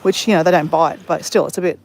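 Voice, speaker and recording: A woman's voice: low voice, fairly even intonation, fast, darkish tone, a little hiss or hum. An Australian woman chatting casually while walking on a beach, telling a rambling story full of self-corrections. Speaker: vlogger. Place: outdoors on a beach.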